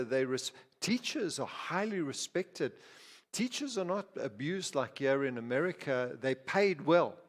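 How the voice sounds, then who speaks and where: Guy from zimbabwe preaching in church, chatty sermon, stumbles and restarts a lot, big hall echo. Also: medium pitch. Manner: conversational preaching with frequent restarts and asides. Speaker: pastor. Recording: reverberant hall.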